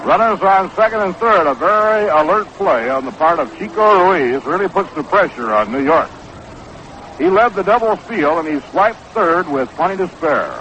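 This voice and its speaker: A man calling baseball play-by-play on 1960s radio, measured and descriptive, on an old broadcast recording. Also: sits high, lively intonation, bright, forceful tone. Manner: measured, descriptive calls that quicken on action. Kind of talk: 1960s live radio sports commentary. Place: outdoor ballpark broadcast position. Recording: noisy, dull vintage 1960s radio broadcast.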